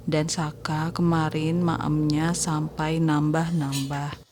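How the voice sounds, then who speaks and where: Slow, nasal woman in low monotone. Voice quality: nasal, congested. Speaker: homemaker vlogger narrating own daily routine. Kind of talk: casual voice-over vlog, chatting to viewers. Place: voice-over recorded separately at home.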